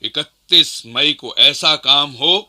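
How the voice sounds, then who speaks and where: An actor playing a commanding, deliberate rebel leader and strategist in a historical radio play. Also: male voice, on the high side, bright, forceful tone, full character voice. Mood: measured, commanding. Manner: deliberate, authoritative.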